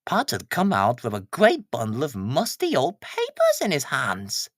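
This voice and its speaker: A woman's voice: extremely low pitch, wildly swooping pitch, unhurried, slightly rough, no room sound, studio quality. A woman narrating a children's story from a script.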